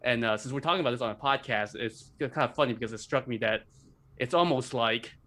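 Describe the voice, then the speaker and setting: Man from China, mid-range voice, podcast panelist, halting, tentative, casual. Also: medium pitch, fairly bright tone. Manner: halting, tentative, filler-heavy.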